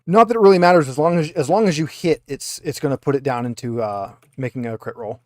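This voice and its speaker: A man's voice: medium pitch, quick pace, a little mellow, dry recording, clean studio sound. A man acting as game master, casually narrating a tabletop roleplaying session and giving rulings.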